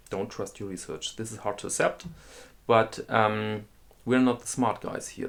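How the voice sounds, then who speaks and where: A man with a German accent, hosting a talk video and explaining a scam in a halting way, with many restarts and ums. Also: lowish voice. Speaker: host. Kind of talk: casual explainer monologue.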